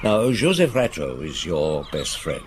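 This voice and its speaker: A man's voice: extremely low pitch, huge pitch swings, a little slow, a little hiss or hum, exaggerated voice. A man playing a genial, shrewd middle-aged detective in a radio drama, questioning in an avuncular, probing way. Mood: gentle, probing.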